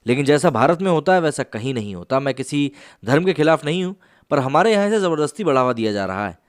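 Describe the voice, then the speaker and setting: Man presenting news commentary. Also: a little high. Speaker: host of a news commentary channel. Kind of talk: news explainer commentary to camera.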